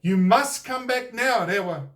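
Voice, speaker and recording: A man's voice: very high-pitched, unhurried, fairly bright tone, voice pushed up. A man with a New Zealand accent voicing a solemn, cautioning wise man in a storybook, earnest and insistent. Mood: urgent calling.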